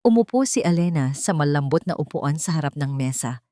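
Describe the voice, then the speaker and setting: A woman's voice: deep voice, expressive, brisk, warm tone, dry recording, studio quality. A woman with a Filipino accent reading a dramatic love story as scripted narration.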